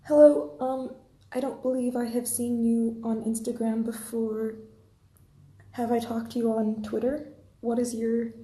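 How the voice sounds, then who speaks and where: A woman's voice: on the high side, level tone, measured pace, warm tone. A woman hosting a casual livestream, chatting to listeners in a friendly, rambling way, half to herself. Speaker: livestream host, podfic reader. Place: indoor room at home, rain outside. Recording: roomy echo, faint background noise.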